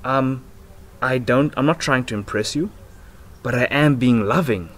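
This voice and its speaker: A man in his twenties chatting casually and excitably in slangy, rambling talk, like a vlogger. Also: medium pitch, a little slow. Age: 20s. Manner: excitable, slangy, swearing, breaks into giggles. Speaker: self-improvement vlogger. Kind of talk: casual chat, rambling monologue. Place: outdoors on a house porch. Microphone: laptop built-in microphone at arm's length. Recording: noisy laptop microphone outdoors.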